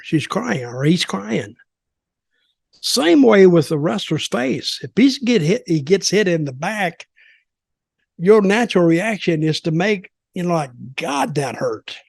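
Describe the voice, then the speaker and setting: A man's voice: high-pitched, creaky, no echo, studio quality. An older man in casual, rambling, opinionated talk about wrestling as a podcast commentator.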